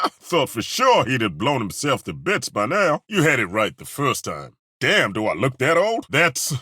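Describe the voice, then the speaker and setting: Gruff, husky old-soldier dwarf for a fantasy game, unhurried and dry, bright edge in the tone. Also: male voice, mid-range voice, dry recording, full character voice. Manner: dry, wry and plainspoken, with roaring battle cries. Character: gruff, folksy middle-aged male dwarf fighter. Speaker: party companion. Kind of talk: video game voice acting, barks and banter.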